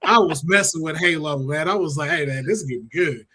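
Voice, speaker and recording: A man's voice: fairly high pitch, a little fast, sharp tone. A man hosting a podcast in loose, slangy, conversational panel chat. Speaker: podcast host.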